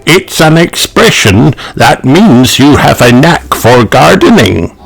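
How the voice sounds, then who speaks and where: Storybook grandpa pig, voiced by a male reader: elderly, kindly, animated intonation, slightly lifted pitch, soft music bed. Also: takes it slowly, vocal fry, heavily acted. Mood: encouraging. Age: older. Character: kindly grandfather pig who loves gardening.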